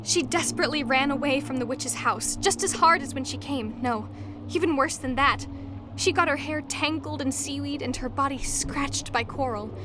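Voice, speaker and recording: A woman narrator reading a scripted fairy tale for an audio drama. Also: high-pitched. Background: music.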